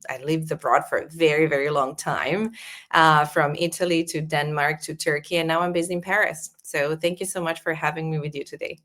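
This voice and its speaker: A woman's voice: low voice, fairly even intonation, a little fast, fairly bright tone. A middle-aged woman from Serbia, a podcast guest, talking thoughtfully with many small restarts. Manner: thoughtful, with frequent restarts and fillers. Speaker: podcast guest, agency founder. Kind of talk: casual interview talk.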